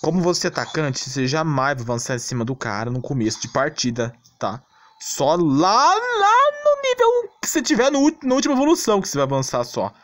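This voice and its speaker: A man's voice: on the high side, wildly swooping pitch, a little fast, a bit bright. A Brazilian man giving a casual gameplay tutorial commentary in a blunt, exasperated way, often checking that the listener follows. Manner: blunt, exasperated, repeatedly tagging phrases with check-in questions. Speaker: gaming channel host. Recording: background noise, clicking sounds.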